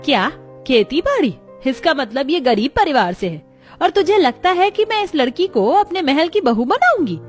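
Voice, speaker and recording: A woman's voice: high voice, big pitch swings, moderate pace, faint music behind, pitched above the performer's own voice. A woman voicing a scheming rich mother-in-law. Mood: scornful. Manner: sharp, scornful.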